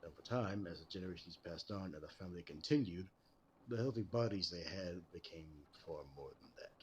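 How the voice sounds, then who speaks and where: A man with an American accent playing a calm, grave, ageless superhuman detective in a scripted roleplay, speaking formally and with measure. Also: deep voice, fairly quick, muffled recording, close to an everyday voice. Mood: matter-of-fact, explanatory. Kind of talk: scripted roleplay audio drama. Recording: audible background noise.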